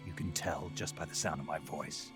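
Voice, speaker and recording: An actor playing a gruff, world-weary special forces soldier with a gravelly voice and a terse, sardonic manner in a video game. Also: male voice, extremely low pitch, fairly even intonation, moderate pace, over music. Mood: guarded, terse. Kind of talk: scripted video game dialogue.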